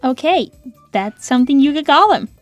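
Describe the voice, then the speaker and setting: A woman's voice: high voice, expressive, moderate pace, soft background music. A woman voicing a young female cartoon hedgehog, peppy and touchy, with a sarcastic, girlish manner. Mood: wry, peppy. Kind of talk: cartoon character answering fan questions.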